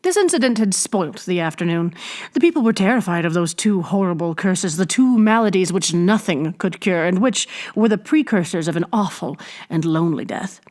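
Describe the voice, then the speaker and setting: A woman reading a classic adventure novel aloud as an expressive storybook narrator. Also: lowish voice, moderate pace. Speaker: audiobook reader.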